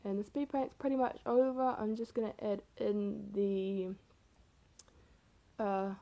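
A teenage girl with a rough, hoarse voice, casually narrating her own speed painting in a chatty, self-deprecating way. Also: mid-range voice, little pitch movement, slow, dark tone. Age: teens. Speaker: art vlogger. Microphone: camera mic.

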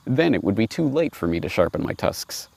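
Guy doing a wary wild boar in a storybook, sensible, matter-of-fact, lowish voice. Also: very animated, a little fast, creaky, a little mellow, no echo, exaggerated voice. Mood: calm, sensible. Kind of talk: storybook fable reading.

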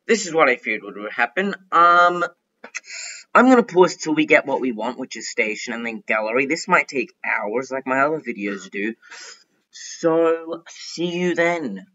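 A young man giving chatty, excitable commentary while playing a game, thinking out loud as he goes. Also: mid-range voice, a little slow, dull audio. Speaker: gaming youtuber. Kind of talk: casual gameplay commentary.

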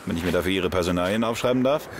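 A man playing a cool, defiant police detective in an audio drama, speaking in a dry, deadpan manner. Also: low-pitched, racing, background noise, lightly acted. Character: cool, defiant male police detective narrating his case. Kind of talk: first-person narration and dialogue in German audio drama.

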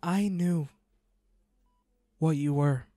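A man playing a devoted, grieving young hunter in an audio drama, speaking intimately in a halting, pleading, emotional way to an injured lover. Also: on the high side, dark tone, no echo, clean studio sound. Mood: tender, shaken. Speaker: audio roleplay voice actor.

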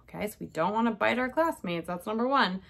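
A woman's voice: mid-range voice, lively intonation, fast talker, vocal fry, fairly bright tone. A woman in her thirties, a kindergarten teacher reading a story aloud and talking directly to young children with check-in questions. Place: small decorated indoor room.

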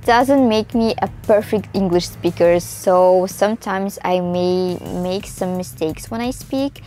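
A woman in her mid-twenties from Russia, a native Russian and Tatar speaker, talks in a chatty, expressive way with a Russian accent in a casual vlog to camera. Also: medium pitch, measured pace. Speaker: vlogger. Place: small room indoors. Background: music.

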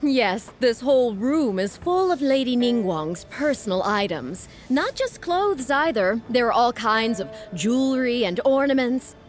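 A woman's voice: a little high, big pitch swings, measured pace, hardly any pauses. A woman voicing a polite young female attendant in a video game, courteous and helpful. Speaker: game character.

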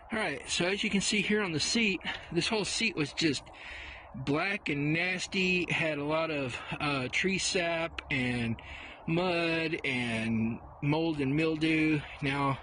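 Older man, relaxed vlog host, slightly high voice, windy outdoor recording. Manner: easygoing, repeats "really, really", many "uh" fillers. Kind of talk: casual how-to vlog. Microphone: clip-on mic, camera on tripod and handheld. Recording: wind noise on the mic. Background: wind.

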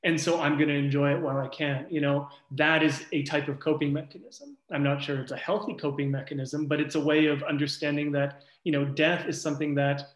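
A man with a slightly high, flat voice talks through his research on a panel. He is thoughtful and loose, often searching for the right words. The sound is roomy and echoey. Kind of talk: academic panel discussion. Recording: echoey room.

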